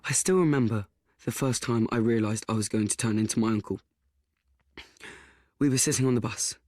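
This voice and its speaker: A young actor playing an anxious, self-doubting teenage boy, confiding in a halting, stammering radio play monologue. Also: mid-range voice, darkish tone, no echo, studio quality, close to an everyday voice. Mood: wry, confiding. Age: young adult.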